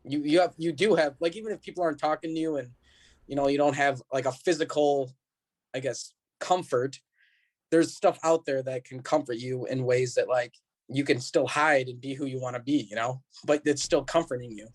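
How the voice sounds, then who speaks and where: A man's voice: medium pitch, normal speed. A man appearing as a podcast guest, chatting casually with informal, laid-back, slangy phrasing. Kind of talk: casual chat.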